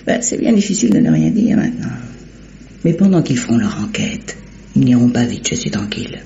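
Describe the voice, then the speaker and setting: Brisk streetwise detective, dull old recording. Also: female voice, a bass voice, sing-song, dark tone, exaggerated voice. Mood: brisk, scheming. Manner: assured, colloquial, directive. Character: shrewd, brisk, streetwise female amateur detective. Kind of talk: old French radio drama acting. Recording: dull, hissy vintage radio recording.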